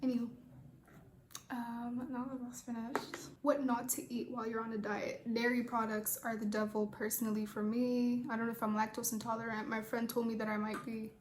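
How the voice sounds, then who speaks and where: A woman's voice: fairly high pitch, level tone, normal speed, slight background noise. Laid-back young woman in echoey room. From Rwanda. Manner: laid-back, rambling, swears casually, many fillers. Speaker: beauty vlogger. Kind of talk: casual get-ready-with-me makeup chat and Q&A.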